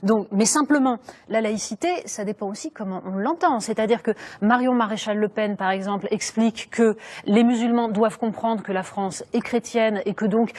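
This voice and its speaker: A woman's voice: on the high side, darkish tone. A woman TV interviewer in a studio who presses her guest with pointed questions. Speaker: interviewer, TV host. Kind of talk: political interview.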